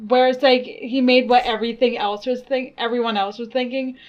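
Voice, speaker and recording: Giggly young woman with a high voice. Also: brisk. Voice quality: naturally high voice. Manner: giggly, excitable, rambling. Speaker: podcast co-host. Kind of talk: casual chat. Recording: dull lo-fi audio.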